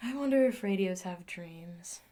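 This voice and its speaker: A woman playing an excitable, scheming inventor in an audio drama, theatrically talking to herself as her moods swing. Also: fairly low pitch, a little slow. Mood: tired, subdued.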